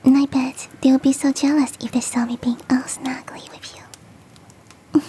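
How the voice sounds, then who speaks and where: A woman voicing a big, fluffy, motherly and flirty yeti in a soft, teasing, whispered ASMR roleplay. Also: high-pitched, level tone, unhurried, slight background noise. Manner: tender, teasing, coaxing.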